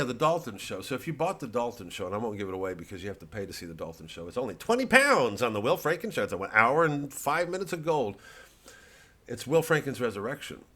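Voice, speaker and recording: A man hosting a casual comedy monologue, digressing often, swearing freely and talking in halting, restarted sentences. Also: mid-range voice, bright, forceful tone. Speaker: comedian, host.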